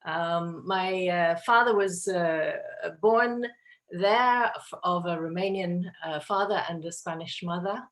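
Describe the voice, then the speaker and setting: A woman's voice: lowish voice, slow. An older woman in her sixties with a southern English accent, speaking hesitantly with many fillers on a video call from a room with bookshelves. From England. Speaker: participant.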